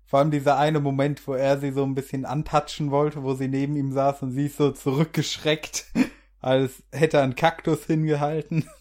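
A man's voice: mid-range voice, little pitch movement, moderate pace, darkish tone. A man in casual podcast chat, speaking in a wry, amused manner. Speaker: podcast participant, video critic.

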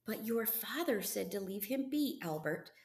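A woman's voice: mid-range voice, animated, moderate pace, creaky, a little mellow, roomy sound. A woman voicing a worried farm mother in a storybook reading, admonishing and weary with her teenage son. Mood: cautioning.

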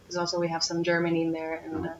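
A woman's voice: lowish voice, completely flat delivery, very fast, a crowd in the background. A woman explaining her mixed background while searching for words. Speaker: interviewee. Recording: distant, muffled recording with a hum.

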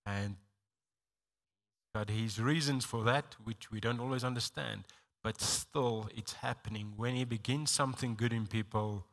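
A South African man leading a church service, talking with halting, stammering restarts. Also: medium pitch, fairly even intonation, a bit bright. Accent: South African English. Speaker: pastor. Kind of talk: sermon-like church talk.

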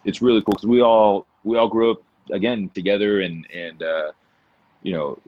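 A man in his forties chatting casually as a podcast guest, in a rambling, informal way. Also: deep voice. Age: 40s. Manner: informal, peppered with "man" and "you know". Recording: dull lo-fi audio.

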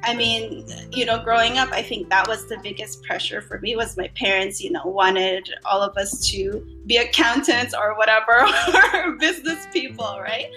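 A woman's voice: a little high, moderate pace, hardly any pauses, pushed and bright, faint music behind, low-quality recording. A woman in her thirties from the Philippines, a podcast guest talking reflectively in casual conversation with many fillers. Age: 30s.

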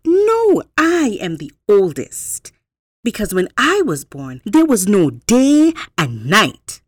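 A woman voicing an excitable, insistent rabbit in a folktale, boasting in emphatic outbursts. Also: mid-range voice, slow, no echo, clean studio sound, pitched above the performer's own voice, exaggerated voice.

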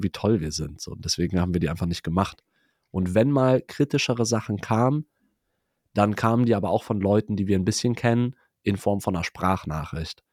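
Man with a cold, low voice. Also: darkish tone, dry recording, studio quality. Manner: reflective, talks in loose run-on sentences. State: has a cold. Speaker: podcast co-host. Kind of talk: casual podcast chat.

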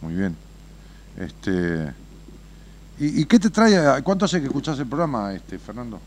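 A man's voice: mid-range voice, very animated, measured pace. A man, hosting a radio show, telling a parable with dramatic whispers and swells, then chatting with a caller. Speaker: radio host.

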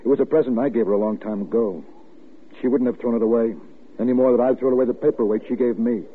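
A man's voice: medium pitch, fairly even intonation, quick pace, narrow, tinny audio, natural voice. An actor playing a stern, exasperated father in a 1950s radio drama. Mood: grave, accusing. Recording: thin, noisy old-time radio recording.

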